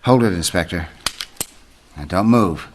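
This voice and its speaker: An affable writer sidekick in a radio mystery, lowish voice, really animated. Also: male voice, measured pace. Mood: resigned, earnest. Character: affable male writer with an outlaw past, sidekick. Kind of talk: old-time radio mystery acting.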